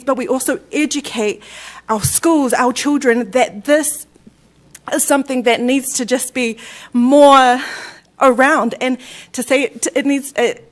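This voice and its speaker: Woman, new zealand accent, public comment, halting, fillers, slightly high voice. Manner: hesitant, many fillers and restarts. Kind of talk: public comment at a city council meeting.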